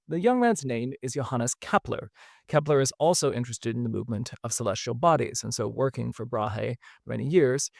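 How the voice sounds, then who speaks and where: A man hosting a podcast, telling a history story in a relaxed, conversational way, with frequent fillers. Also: medium pitch, creaky, no echo, clean studio sound. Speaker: podcast host. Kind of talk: casual storytelling narration.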